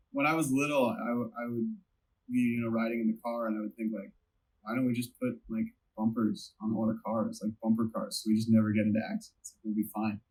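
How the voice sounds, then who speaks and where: A man's voice: fairly low pitch, steady tone, a little fast, a little mellow, husky, low-quality recording. A man interviewing someone in a casual, informal and tentative way.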